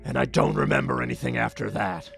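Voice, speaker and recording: A man playing a tough, hot-tempered, guilt-ridden young man in an anime fandub, intense and halting, moving between hushed confession and yelling. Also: medium pitch, lively intonation, gravelly. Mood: anguished, remorseful. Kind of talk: dramatic anime-style fandub acting. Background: faint music behind the voice.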